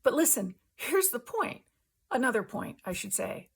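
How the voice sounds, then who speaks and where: A woman host giving an earnest spiritual testimony in casual language, speaking emphatically with halting pauses between short phrases. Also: a little high, very animated, vocal fry, slightly rough.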